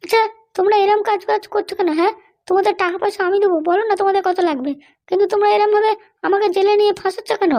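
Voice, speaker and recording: Woman playing bossy, indignant cartoon lady politician, tiny childlike voice, extremely high, rapid scolding delivery. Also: fairly even intonation, a little mellow. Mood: bargaining, complaining. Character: haughty, threatening female political boss held in jail. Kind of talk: Bengali comedy cartoon dialogue.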